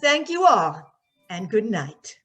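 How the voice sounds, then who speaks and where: A woman's voice: medium pitch, sing-song, takes it slowly, breathy edge, faint music behind. A woman announcer presenting in a theatrical old-time radio style.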